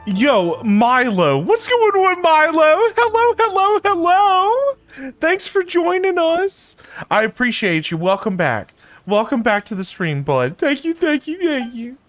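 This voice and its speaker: A man livestreaming a game and chatting casually with his viewers in an informal, halting, repetitive way. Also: extremely high pitch, big pitch swings, fairly bright tone. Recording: faint game music behind. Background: faint game music.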